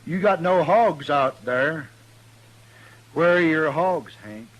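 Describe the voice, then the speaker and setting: A man's voice: on the high side, expressive, takes it slowly, low-quality recording. An actor playing a stubborn, feuding hillbilly gunman with a blunt rural American drawl in an old-time radio western. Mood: grudgingly friendly. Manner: drawling, blunt backwoods talk. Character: stubborn feuding hillbilly man, long-legged gunman with rigid scruples.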